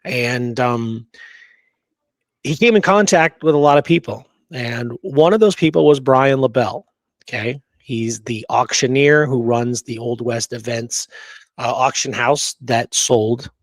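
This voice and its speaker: A man's voice: medium pitch, unhurried, dry recording. A man co-hosting a discussion show, reading out quotes and often checking in with "okay?" and "right?". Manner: frequent "you know" and checking "okay?", "right?". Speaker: show co-host.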